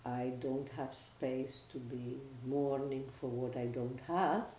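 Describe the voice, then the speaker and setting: An older speaker with a Chilean Spanish accent speaks in a measured, reflective way, often pausing and restarting phrases. The tone is mellow and mid-pitched. The recording sounds roomy, with a faint hiss underneath. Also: steady tone. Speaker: interviewee, former academic and researcher. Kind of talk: personal testimony.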